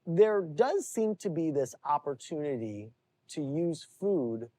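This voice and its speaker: Low, mellow-voiced woman interviewer. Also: animated, takes it slowly. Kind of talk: news feature interview.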